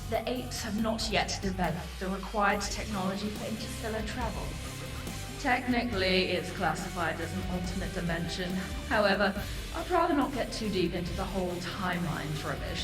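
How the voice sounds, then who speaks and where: A woman's voice: mid-range voice, lightly acted. Woman acting a haughty succubus, roomy and distant. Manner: sardonic, florid, condescending, swears freely. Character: haughty, seductive female succubus demon, sardonic and theatrical. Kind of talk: video game character acting.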